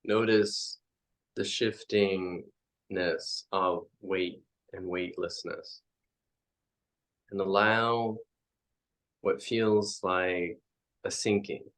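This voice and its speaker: A man leading a guided meditation as a teacher, speaking in halting, reflective phrases with frequent pauses. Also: low voice, steady tone, a bit bright.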